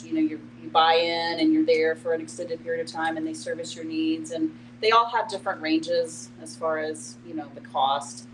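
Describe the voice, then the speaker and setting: Low-voiced woman explaining, noisy recording. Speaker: host and discussion facilitator. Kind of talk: informal explaining in a group discussion. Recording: clear background noise and hum.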